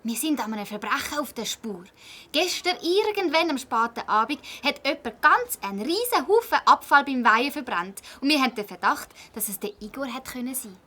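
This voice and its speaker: An eager girl sleuth acted by a woman, with a high voice that swings in pitch. She has a Swiss German accent and an earnest, animated way of speaking, as in a children's audio play. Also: brisk, full character voice. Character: eager young girl detective, quick to conclude.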